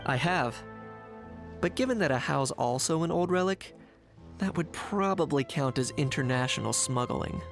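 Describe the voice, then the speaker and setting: An actor playing a laid-back, deadpan young man in a video game, dry and matter-of-fact. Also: a little high, moderate pace, vocal fry, music in the background. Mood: dry, calm. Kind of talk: video game character acting.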